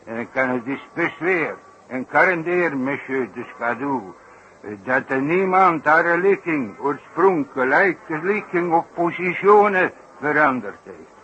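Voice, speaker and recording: An actor playing a self-important, wordy circus clown in a 1960s radio play, repeating himself for emphasis. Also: male voice, a little high, animated, unhurried, pushed and bright. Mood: solemnly boastful. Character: excitable, boastful old-fashioned circus clown with foreign flourishes. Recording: dull, noisy 1960s radio recording.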